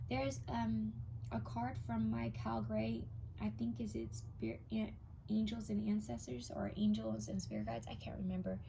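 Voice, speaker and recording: Young woman doing a tarot reading, low mellow tone, a bit raspy and gravelly, whispers while she reads the cards. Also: steady tone, normal speed, slight background noise, low-quality recording. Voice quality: slightly raspy from many readings. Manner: intuitive, addresses viewers directly, drops to whispers while reading cards. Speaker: tarot card reader. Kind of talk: casual pick-a-card tarot reading.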